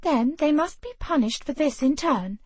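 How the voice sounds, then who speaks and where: A woman's voice: high-pitched, animated, moderate pace, a little mellow, no room sound, pitched above the performer's own voice. A woman voicing a passionate, defiant young woman who speaks urgently and indignantly in an audiobook.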